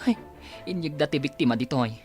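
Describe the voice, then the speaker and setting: A woman's voice: deep voice, expressive, a little fast, darkish tone, faint music behind. A woman with an Ilocano accent playing an anxious, scolding, concerned friend in a radio drama. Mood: shocked, concerned.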